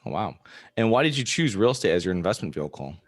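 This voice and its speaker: A man's voice: low-pitched, big pitch swings, fast, dry recording. A man hosting an interview podcast, delivering a prepared introduction and asking the questions.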